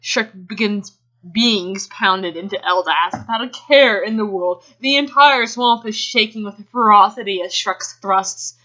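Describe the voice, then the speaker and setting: A woman reads fan fiction aloud in an amateur dramatic style, with casual asides. Her voice sits at a middling pitch. The home recording is slightly dull and lo-fi. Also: measured pace, pushed and bright. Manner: giggly, often breaks off to react. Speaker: reader.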